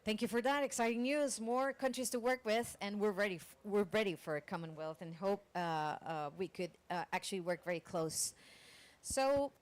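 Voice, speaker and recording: A woman from Costa Rica who moderates a conference panel. Her voice sits at a middle pitch, and she speaks with some hesitation and fillers as she introduces the next speaker. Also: moderate pace.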